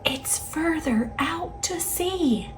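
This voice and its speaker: A woman voicing a gentle, sweet female sea creature with a soft, whispery, coaxing voice in a storybook. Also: sits high, lively intonation, drawn-out words, a little hiss or hum, voice pushed up, full character voice. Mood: hushed, guiding. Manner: coaxing, encouraging.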